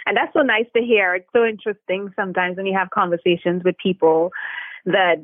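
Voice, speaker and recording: A woman's voice: medium pitch, steady tone, brisk, no room sound. A woman from Trinidad and Tobago, an immigration attorney, telling her story as an interview guest with earnest fillers. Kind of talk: podcast interview, remote guest. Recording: tinny phone line.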